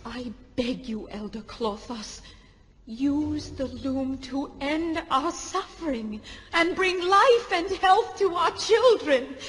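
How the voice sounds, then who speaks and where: A grieving, desperate weaver in a fantasy audio drama. Her voice is very high and rather slow, earnest and formal as she pleads. It carries the long reverb of a great hall. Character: desperate, grieving young female guild weaver pleading with elders.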